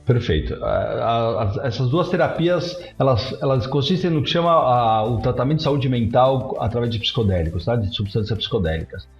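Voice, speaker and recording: Man, animated, in a roomy dull recording. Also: mid-range voice, moderate pace, few pauses, faint music behind. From São Paulo, Brazil. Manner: animated, frequent restarts and "tá?" tags. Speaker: guest, psychedelic clinic CEO. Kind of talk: conversational interview answers. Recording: roomy, dull remote audio.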